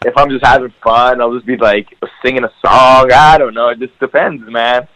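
A man's voice: medium pitch, steady tone, normal speed, sharp tone, no room sound, low-quality recording. A man whose first language is Farsi, a professional wrestler talking as a guest in a casual podcast interview, rambling with many fillers.

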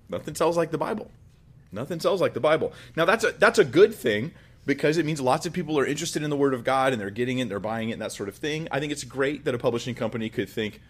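Pastor guy, middle aged, teaching casual like, chuckles, repeats stuff, normal pitch. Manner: relaxed and conversational, with chuckles and repeated phrases. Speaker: pastor and show host. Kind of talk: teaching talk on the Bible.

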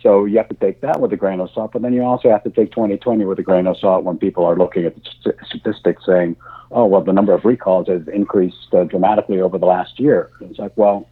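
Deep man on a tinny phone line. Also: steady tone, breathy edge. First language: German. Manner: halting, many fillers and restarts. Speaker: insurance industry guest. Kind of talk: podcast interview answers.

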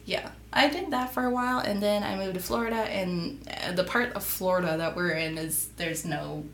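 A woman's voice: fairly low pitch, normal speed, fairly bright tone, roomy sound, a little hiss or hum. A twenty-six-year-old woman co-hosting a podcast, telling her story in a casual, self-deprecating way with laughs and fillers. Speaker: podcast co-host. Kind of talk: casual chat.

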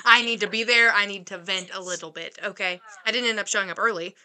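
Woman in her twenties, very bright and crisp voice, earnest, reassuring co-host. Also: medium pitch, fast talker. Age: 20s. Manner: earnest, reassuring, speeds up when excited. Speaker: podcast co-host. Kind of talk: casual chat.

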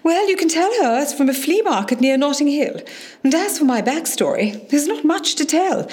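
A woman's voice: high-pitched, animated, normal speed, darkish tone. An actress playing a polite, genteel female film star as a hospital patient in a radio play, gracious and reserved. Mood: gracious, guarded.

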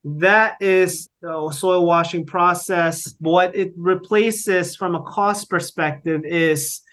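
A man's voice: high voice, fairly even intonation, slow pace, a bit bright. A middle-aged man from the Philippines, explaining his work in an interview with many fillers and restarts. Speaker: guest, company founder. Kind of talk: conversational interview.

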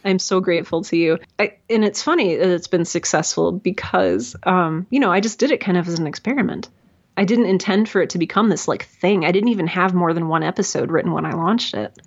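A woman in her thirties, the guest in an interview, talking casually and warmly with a quick laugh and plenty of fillers. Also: lowish voice, gravelly, husky, highs cut off. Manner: bubbly, chatty, laughs often, many fillers. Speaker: guest, audio drama creator.

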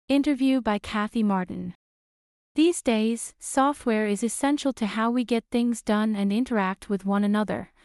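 A woman hosting a podcast, reading a scripted introduction and asking interview questions in a clear, even way. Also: mid-range voice, no room sound, clean studio sound. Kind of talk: scripted narration.

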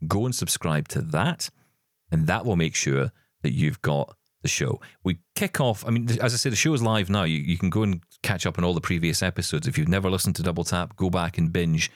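A man's voice: deep voice, brisk, no echo, studio quality. A man co-hosting a podcast, chatting in an upbeat, rambling way. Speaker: podcast co-host. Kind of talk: casual podcast chat.

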